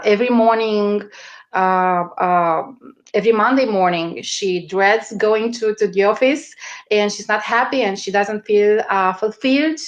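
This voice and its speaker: This is a woman with a strong Romanian accent, giving a guest interview over a video call. She talks slowly at a middle pitch. Her sentences stall on fillers and restarts while she looks for the words. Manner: hesitant, searching for words with many fillers. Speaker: guest, media strategist. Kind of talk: interview answers.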